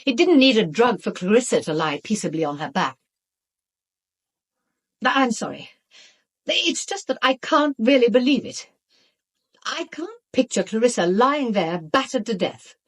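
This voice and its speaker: A woman's voice: a little high, sing-song, measured pace, long pauses, slightly rough, full character voice. An actress with a British accent playing a bitter, outspoken woman in a radio drama, blunt and emphatic. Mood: bitter, agitated.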